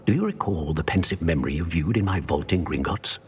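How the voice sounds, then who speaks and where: An actor playing an old, solemn wizard professor in a video game, with a husky voice and a measured, formal, grave manner. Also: male voice, deep voice, animated, darkish tone, slight background noise. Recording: long reverberant hall echo.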